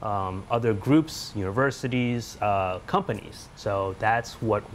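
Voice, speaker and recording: A man's voice: fairly low pitch, measured pace, a little hiss or hum. Middle-aged man chatting in a lab. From Taiwan, grew up in the US. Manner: relaxed, frequent fillers and restarts. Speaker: research scientist. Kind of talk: casual interview about his lab work. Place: indoor laboratory.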